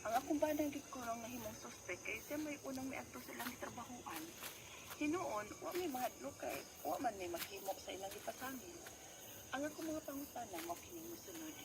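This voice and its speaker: A woman acts out a worried wife in a Filipino radio drama. Her voice sits rather high, with a Cebuano accent, as she recounts family hardship. The recording carries clear background noise. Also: expressive, brisk. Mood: sorrowful, recounting.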